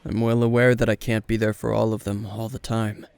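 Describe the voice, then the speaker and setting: A man playing a weary, gruff veteran hero and teacher at a bar, terse and dry, in an intimate roleplay spoken to the listener. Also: lowish voice, flat delivery, fairly quick, a little mellow, dry recording. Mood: downcast, brooding. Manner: terse, guarded, dry, sighing.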